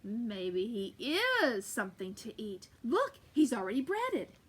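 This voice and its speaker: A woman's voice: on the high side, huge pitch swings, slow pace, a bit bright, breathy edge, full character voice. Woman putting on a grumpy hungry giant. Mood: grumbling.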